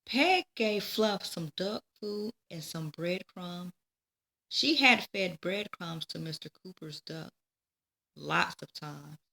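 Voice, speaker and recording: Bright, creaky-voiced woman reading to children. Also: on the low side, expressive, slow pace. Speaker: storybook reader. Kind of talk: children's read-aloud narration.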